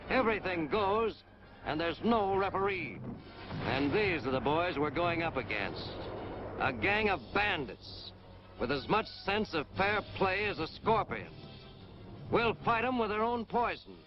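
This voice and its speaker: A man's voice: high voice, sing-song, measured pace, music in the background. An American man narrating a 1940s military training film in a hard-boiled, punchy, emphatic way. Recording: dull, noisy 1940s film soundtrack.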